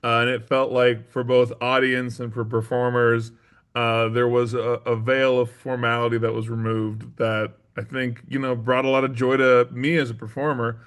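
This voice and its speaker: A man giving thoughtful, reflective answers as a performer being interviewed, in halting phrases. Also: mid-range voice, level tone, fairly bright tone, smooth. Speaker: interview guest, stage performer.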